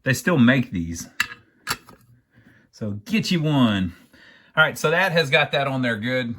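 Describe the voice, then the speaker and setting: A man's voice: mid-range voice, lively intonation, a little slow, bright, forceful tone. An American man giving a casual hands-on repair walkthrough, thinking aloud in a folksy, plain-spoken way. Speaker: amateur radio repairer, video host.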